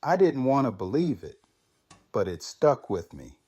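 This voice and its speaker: A man acts out a widowed survivor, haunted and reflective. He speaks very slowly and haltingly, in a subdued way, recorded close and dry for audio drama. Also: mid-range voice, sing-song, a little mellow, husky, no echo. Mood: reflective storytelling. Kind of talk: fan audio drama acting.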